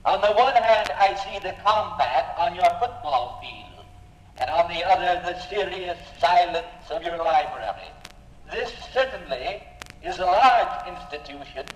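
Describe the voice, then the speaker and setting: An actor playing a courteous, impressed visitor with a formal, stilted manner in a scripted 1950s radio program. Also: male voice, sits high, lively intonation, measured pace. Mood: admiring. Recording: echoey hall on vintage radio.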